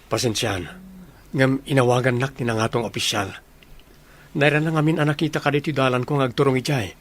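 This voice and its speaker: A man acts out a confident young soldier in an Ilocano radio comedy drama. He speaks in a medium-pitched voice with a teasing manner. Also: steady tone, brisk, close to an everyday voice. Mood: businesslike.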